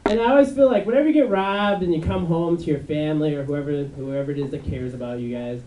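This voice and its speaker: A young man with a high, unmasculine voice doing a rambling stand-up set on a club stage, with many fillers and restarts. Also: fast, background noise. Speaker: stand-up comedian. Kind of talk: stand-up routine. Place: dark club stage before a live audience. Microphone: handheld mic on a stand. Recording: echoey room, mic from far off.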